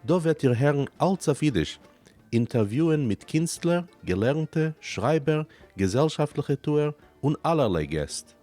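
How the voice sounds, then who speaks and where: A man reading a scripted promo for a radio program in a steady, listing delivery. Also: on the high side, a little slow, faint music behind. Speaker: station announcer.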